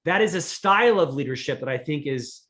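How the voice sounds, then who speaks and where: High-voiced middle-aged man, earnest storyteller. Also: animated, fairly quick, fairly bright tone. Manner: earnest, self-deprecating storyteller. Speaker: podcast guest, leadership expert. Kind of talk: conversational interview.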